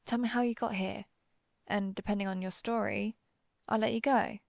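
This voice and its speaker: A woman playing a cool, menacing, centuries-old vampire hunter in a roleplay audio drama, deliberate and sardonic as she interrogates the listener. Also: medium pitch, steady tone, gravelly, no room sound, close to an everyday voice. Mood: curt, restrained. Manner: deliberate, interrogating, dryly threatening.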